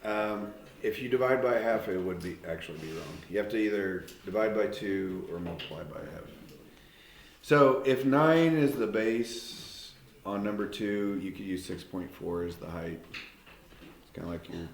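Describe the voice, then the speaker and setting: Male teacher, lowish voice, patient classroom explainer, echoey room. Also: unhurried. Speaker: math teacher. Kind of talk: classroom instruction. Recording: echoey classroom with faint noise.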